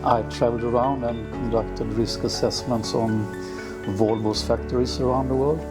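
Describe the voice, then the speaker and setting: Man, middle-aged, grew up in Sweden, unhurried, frequent fillers, mid pitch, music bed. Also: steady tone. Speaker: villa owner. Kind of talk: relaxed interview answers. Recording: music playing underneath.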